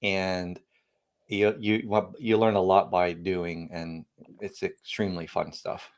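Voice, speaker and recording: A man's voice: low-pitched, little pitch movement, slow pace. A man, a company founder and guest on a podcast interview, talking through technical topics in a rambling way with frequent restarts and emphatic bursts.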